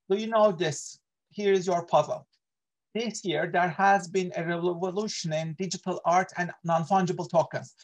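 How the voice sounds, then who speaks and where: A man's voice: sits high, poor audio. A middle-aged man from Turkey with a Turkish accent, running an online workshop in an earnest way, with frequent fillers and self-corrections, heard over a video call. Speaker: workshop presenter, associate professor.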